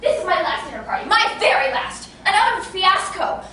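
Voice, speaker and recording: A woman's voice: sits high, big pitch swings, brisk. A woman acting the part of an imperious, snobbish society hostess and mother in a stage comedy, melodramatic and easily enraged. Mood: despairing, frantic. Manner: melodramatic, indignant, commanding. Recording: echoey room, distant noisy mic.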